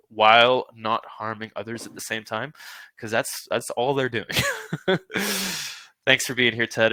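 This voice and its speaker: A male podcast host with a bright, forceful voice, recorded close and dry. English is not his first language, and he talks earnestly, laughing often between his words. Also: lowish voice, smooth, dry recording. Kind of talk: casual interview chat.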